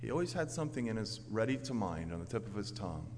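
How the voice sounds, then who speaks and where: A man's voice: lowish voice, very animated, quick pace, noisy background. American man preaching in a reverberant hall. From Orlando, Florida, United States. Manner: earnest, emphatic, swinging from forceful declarations to quieter storytelling. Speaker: pastor preaching to a congregation. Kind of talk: sermon.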